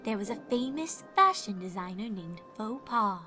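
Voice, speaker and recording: A woman's voice: medium pitch, lively intonation, normal speed, bright, forceful tone, soft background music. A woman voicing a refined, dramatic young female unicorn pony in a fan fiction reading, with a genteel, theatrical manner. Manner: theatrical, genteel, calls others darling. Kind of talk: fan fiction character voice acting.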